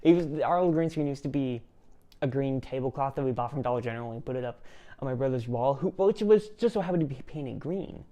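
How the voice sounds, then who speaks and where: A man's voice: medium pitch, expressive, fast, warm tone. Male vlog host, casual, self-deprecating, chatty reaction voice. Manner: rambling, self-mocking, frequent laughs and restarts. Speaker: channel host.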